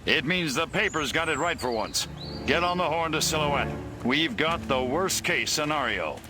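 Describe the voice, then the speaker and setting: An actor playing a brusque, hard-bitten American army officer in a video game, clipped and gruffly urgent. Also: male voice, a little high, expressive, normal speed, music in the background, lightly acted. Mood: urgent, grim. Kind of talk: video game cutscene acting.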